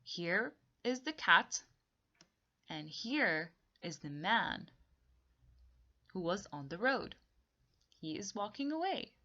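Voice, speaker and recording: A woman teacher leading a read-along story lesson for young children, explaining things slowly and deliberately with repetition. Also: mid-range voice, very animated, bright, forceful tone, lo-fi sound. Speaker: teacher reading aloud.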